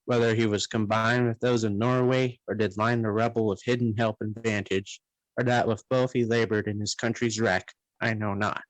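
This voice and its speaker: Man as grave noble envoy, slightly low voice, formal measured Shakespearean radio acting, dry sound. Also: little pitch movement, no echo, natural voice, rough recording. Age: young adult. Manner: formal, measured verse delivery. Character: grave nobleman reporting on a condemned traitor.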